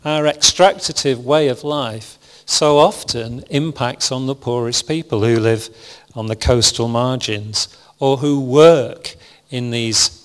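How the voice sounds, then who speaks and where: A man preaching a sermon to a congregation, speaking calmly and evenly, with frequent restarts. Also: medium pitch. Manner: calm, even, self-described monotonous delivery with frequent restarts. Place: church hall with congregation. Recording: long hall reverb.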